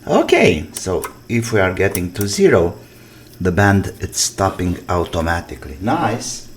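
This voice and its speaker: Man, deep-pitched, unhurried, halting phrases, casual repair commentary, noisy clicky recording. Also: sing-song. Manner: halting, thinking aloud in short fragments. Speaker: electronics repair hobbyist presenting his restoration.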